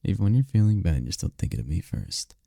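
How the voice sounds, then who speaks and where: A man acts out an incubus lover comforting his partner in an intimate ASMR roleplay. His voice is dark and husky, recorded very dry and close. He is soft-spoken and tender, with a playful, teasing streak and a lot of melodic rise and fall. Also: big pitch swings, fast talker, no room sound, heavily acted. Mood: affectionate, reassuring. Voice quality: breathy, gravelly low voice. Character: tender, teasing male incubus demon boyfriend.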